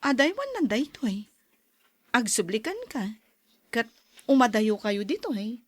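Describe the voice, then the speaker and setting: A woman's voice: on the high side, sing-song, unhurried, no echo. A woman playing an earnest cousin and confidante giving counsel in a radio-style drama.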